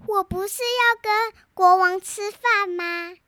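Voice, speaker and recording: A woman's voice: extremely high pitch, slow pace, sharp tone, no echo, studio quality, full character voice. A young girl playing an earnest, outspoken storybook heroine, reciting her lines carefully. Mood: puzzled. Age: child.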